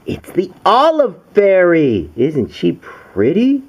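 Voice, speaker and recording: A man's voice: high-pitched, huge pitch swings, slow pace, a little mellow. A man reading a children's storybook to his young daughter as her father, with exaggerated wonder. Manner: exaggerated wonder, gasps and coos.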